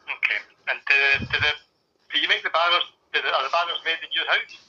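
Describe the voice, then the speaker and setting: A dull telephone line carries a man's very bright, sharp voice, pitched a bit high. He is an interviewer, feeling his way into each question with hesitant restarts. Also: brisk.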